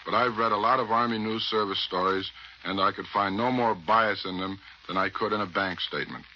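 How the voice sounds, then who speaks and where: A man's voice: on the low side, brisk. An American man reporting and interviewing on 1940s radio, reading his script in a wry, emphatic way. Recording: muffled 1940s radio, faint noise.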